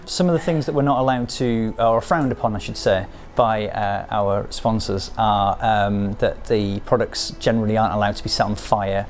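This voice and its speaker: A man's voice: on the low side, moderate pace, few pauses, faint music behind. A male game developer being interviewed, who explains his work hesitantly with many fillers and restarts.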